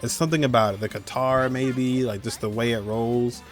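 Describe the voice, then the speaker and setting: A man's voice: medium pitch, fairly even intonation, normal speed. Young man, casual countdown host, music behind. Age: 20s. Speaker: video host. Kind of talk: casual countdown commentary on game videos. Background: music under the voice.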